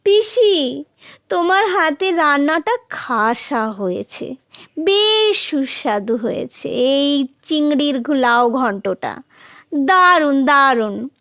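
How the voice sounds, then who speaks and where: Wily courtier from a folktale, performed by a woman: flattering, falsely humble, unhurried delivery, very high pitch. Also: no room sound, clean studio sound, heavily acted. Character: wily male trickster courtier, feigning humble piety.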